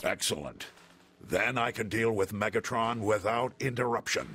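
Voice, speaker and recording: An actor voicing a noble, resolute robot commander in a video game, grave, solemn and commanding. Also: male voice, on the low side, lively intonation, background noise. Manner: solemn, commanding, measured wording.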